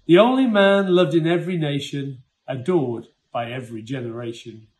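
A man's voice: fairly high pitch, moderate pace. A man in his forties reading a rhyming story aloud. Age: 40s. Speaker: poem reader. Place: indoor room with brick wall.

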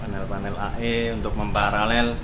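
A man's voice: lowish voice, level tone, normal speed. A young man casually showing a ship's engine room while filming on his phone, explaining as he walks and repeating his words. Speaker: vlogger and seafarer. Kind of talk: casual walkthrough tour. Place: ship tunnel and engine control room. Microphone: handheld phone camera. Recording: loud engine noise, phone mic. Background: loud machinery hum.

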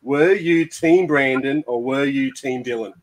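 A man's voice: mid-range voice, a little slow, rough recording. A man co-hosting a video-call interview, asking questions playfully and laughing between them. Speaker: co-host. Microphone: video call webcam.

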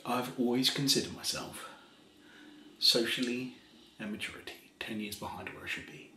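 A man of forty telling a story in a casual, soft-spoken way, rambling and laughing at himself, seated in a small kitchen in front of a camera. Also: medium pitch, moderate pace, reverberant, a little hiss or hum. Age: 40s. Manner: rambling, laughs often, self-mocking. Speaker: ASMR creator.

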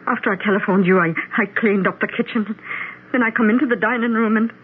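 A woman's voice: medium pitch, fairly even intonation, fast talker, lightly acted. A woman playing a plain-spoken, motherly housekeeper, fretful and folksy, in a 1970s radio drama. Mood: distressed. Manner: folksy, fussing. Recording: noisy, narrowband old radio recording.

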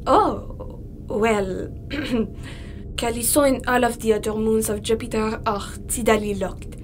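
A woman's voice: fairly high pitch, steady tone, a little slow, slight background noise, natural voice. A woman playing an aloof, work-absorbed scientist in a science fiction audio drama, curt, dry and matter-of-fact. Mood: dry, explanatory.

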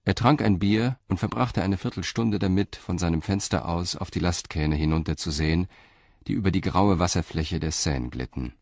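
A man's voice: low-pitched, normal speed, darkish tone, dry recording, studio quality. A man reading scripted narration for an audio drama.

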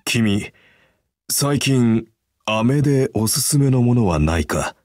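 A man voicing a calm, earnest, kindly masked hero in a mobile game, speaking in a measured, reserved, formal way. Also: mid-range voice, no room sound, studio quality. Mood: warm, thoughtful. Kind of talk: anime game character voice acting.

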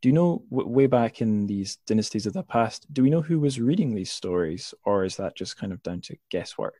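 Man, podcast host, fairly low voice, dry close sound, informal, inquisitive, conversational. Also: animated, a little fast, dark tone, breathy edge, no room sound. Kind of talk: casual chat and informal summarizing.

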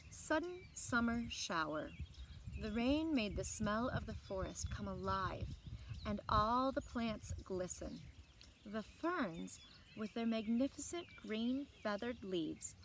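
A woman in her thirties reading a children's picture book aloud expressively, with deliberate phrasing and dramatic emphasis. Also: mid-range voice, fairly bright tone. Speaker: library story time reader. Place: outdoors on a lawn by trees. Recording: outdoor background noise with birdsong. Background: outdoor ambience, birds.

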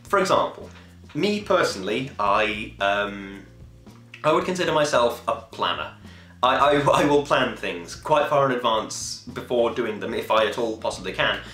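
A man's voice: medium pitch, expressive, moderate pace, fairly bright tone, reverberant, soft background music. A man in his twenties chatting casually to camera in a rambling, enthusiastic way, swearing now and then. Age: 20s. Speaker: podcast host. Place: small home room, indoors.

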